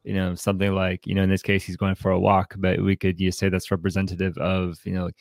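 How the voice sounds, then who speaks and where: A man co-hosting a podcast in a casual, reflective book discussion, haltingly repeating his words as he thinks. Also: sits low, completely flat delivery, vocal fry, no echo, studio quality. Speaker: podcast co-host.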